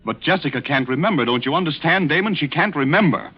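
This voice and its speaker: An actor playing a serious, troubled man who pleads earnestly and gravely, in 1940s radio drama style. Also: on the high side, animated, fast talker. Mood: anxious. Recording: dull vintage radio broadcast recording.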